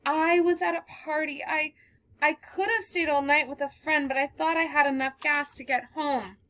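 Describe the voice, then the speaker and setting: A woman reading a play puts on a terrified young woman who has fled through a storm, her voice pitched very high and pushed loud. She pleads in breathless, sobbing bursts. The read-aloud play gives her no break from fear. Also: fairly even intonation, moderate pace. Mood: frightened.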